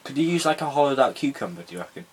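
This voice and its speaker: A man's voice: medium pitch, very animated, racing. A young man, a podcast co-host who cracks jokes. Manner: jokey, wisecracking. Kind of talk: casual chat. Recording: faint hiss and clicks.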